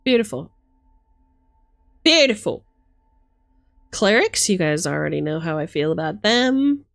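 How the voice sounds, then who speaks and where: A woman's voice: lowish voice, very animated, a little slow, creaky, a bit bright, no room sound. A woman doing casual livestream commentary as host, playful and theatrical, mixing gushing exclamations with dry asides. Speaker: streamer hosting a live book look-through.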